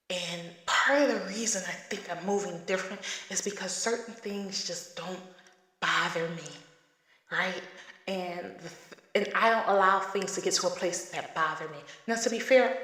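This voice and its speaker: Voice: mid-range voice, moderate pace, sharp tone. A podcast host in their thirties, talking casually and emphatically in a solo monologue. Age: 30s. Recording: echoey room with long reverb.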